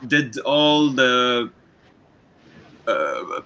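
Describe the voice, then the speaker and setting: Lebanese filmmaker guy, super slow, repeats himself, bright sharp voice. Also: mid-range voice, very animated, slight background noise. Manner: slow, repeats words, hesitant. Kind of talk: panel discussion.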